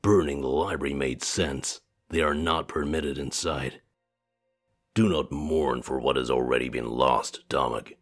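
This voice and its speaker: An actor playing a cold, ruthless commander who speaks in a measured, unyielding way, voiced for a visual novel game. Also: male voice, rumbling low, fairly even intonation, soft background music. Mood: cold, stern.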